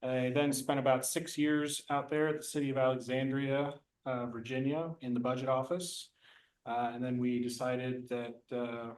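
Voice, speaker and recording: A friendly man from California introduces himself at a meeting, joking at his own expense. His pitch stays flat and level, and the room adds a noticeable echo. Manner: friendly, self-deprecating. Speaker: HOA board candidate. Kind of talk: candidate self-introduction at a public meeting.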